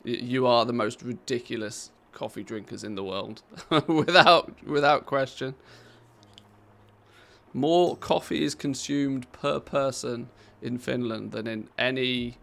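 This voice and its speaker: A man's voice: medium pitch, fairly even intonation, measured pace, creaky, fairly bright tone. A British man chatting casually on a live game stream, reading out and answering viewers' questions with easy laughter. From Britain.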